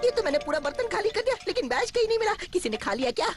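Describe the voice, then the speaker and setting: A woman's voice: very high-pitched, very animated, racing. A woman voicing a gluttonous, scatterbrained young boy superhero in a dubbed cartoon, with music and other voices behind. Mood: flustered. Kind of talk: Hindi-dubbed cartoon acting.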